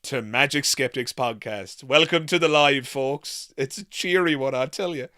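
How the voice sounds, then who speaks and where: A man's voice: medium pitch, moderate pace, pushed and bright. A man in his thirties hosting a casual live chat, musing in a rambling way and repeating phrases for emphasis. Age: 30s. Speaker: livestream podcast host.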